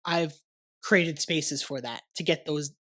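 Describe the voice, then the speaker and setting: A man's voice: fairly high pitch, takes it slowly, pushed and bright. A young man hosting a podcast, talking casually and rambling with lots of "like" and the odd swear word. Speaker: podcast host. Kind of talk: casual conversational interview. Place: warm studio under lights.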